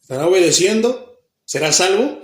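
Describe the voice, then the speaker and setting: A Mexican pastor with a fairly high voice leads a Bible study in a church hall where his words ring off the walls. His Mexican Spanish accent is plain, and he fires questions at the congregation, then answers them himself. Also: moderate pace. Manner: acts out the people he quotes, even mock babbling.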